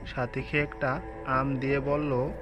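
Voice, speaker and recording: A man's voice: mid-range voice, level tone, takes it slowly, muffled recording. A man narrating a scripted cartoon story, with music playing behind his voice.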